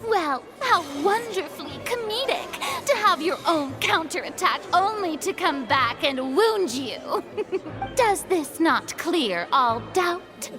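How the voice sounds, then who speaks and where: A woman's voice: very high voice, sing-song, takes it slowly, over music, full character voice. An actress voicing a haughty, theatrical young woman in a video game, speaking in a grandiose, pompous manner. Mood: smug, gloating.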